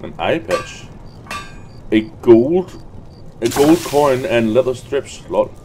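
A man's voice: mid-range voice, lively intonation, slow pace, darkish tone, slight background noise. A man giving unscripted game commentary, muttering to himself and reading item names aloud. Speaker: gamer recording a let's play.

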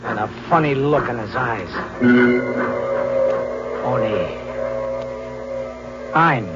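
A man playing a jittery drifter on the run, confessing in halting, repetitive phrases in a 1940s radio thriller. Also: mid-range voice, expressive. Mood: weary, uneasy. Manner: halting, repeats himself, tense confessional. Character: jittery drifter on the run, narrating his crime. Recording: dull vintage radio recording, loud music.

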